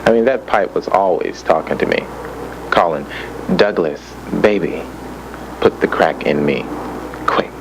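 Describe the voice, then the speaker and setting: A man's voice: low voice, lively intonation, a little slow, pauses often. A man playing a streetwise young former crack addict, giving an emphatic, colloquial confession in an educational film. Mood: rueful, intense. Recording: noisy old educational film soundtrack.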